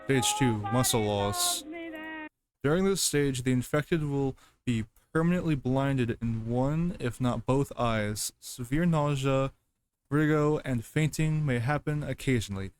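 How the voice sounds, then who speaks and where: A man reads aloud and reacts in an offhand, casual way. His pace is slow and his pitch sits in the middle. He stumbles over words and often doubles back to repeat them. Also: expressive. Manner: halting, stumbling, repeats himself. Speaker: reaction video host.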